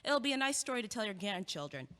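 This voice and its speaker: A woman's voice: mid-range voice, fast talker. A young actress playing a blunt, impatient student who teases and bosses her neighbor around in an audio play. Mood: bossy. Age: young adult. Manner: teasing, bossy, lively. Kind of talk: stage audio play acting. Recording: long hall reverb.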